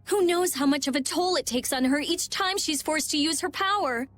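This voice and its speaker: A blunt, sharp-minded young girl in a video game. The voice is high and intense, worried and insistent, with music faintly behind. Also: normal speed. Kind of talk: voice acting in an English video game cutscene.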